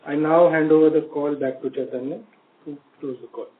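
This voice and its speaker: A man's voice: fairly high pitch, fairly even intonation, a little fast. Indian man on a tinny phone line. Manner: formal, figure-heavy reporting. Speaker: company finance executive presenting results. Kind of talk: scripted earnings call presentation. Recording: tinny phone line with faint background noise.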